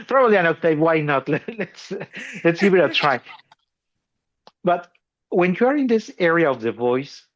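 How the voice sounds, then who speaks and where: A man's voice: fairly high pitch, very animated, measured pace, pauses often, a bit bright, muffled recording. A man hosting a podcast, explaining opera singing technique, chuckling often and restarting his phrases. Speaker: podcast host.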